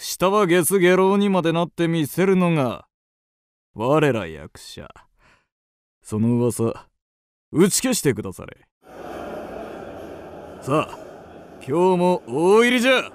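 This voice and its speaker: Man acting proud kabuki star, stately, theatrical, fairly high voice, swooping pitch, radio drama. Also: darkish tone, clean tone, no room sound, studio quality. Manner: grandiose, old-fashioned stately speech. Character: proud, coolly theatrical star kabuki actor of old Kyoto.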